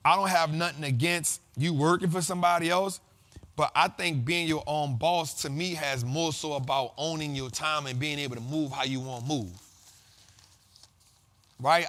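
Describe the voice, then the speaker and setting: A man hosts a money talk show in loose, slangy conversation. His voice sits a little higher than most men's. He stacks repeated lines the way a preacher does. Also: fairly bright tone. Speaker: host of a finance talk show. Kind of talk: casual motivational monologue.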